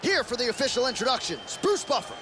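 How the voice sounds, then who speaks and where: A man calling a heavyweight fight as play-by-play commentator, with an excited, declamatory delivery. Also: very high voice, fast. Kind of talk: sports commentary for a video game. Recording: loud arena crowd and noise behind.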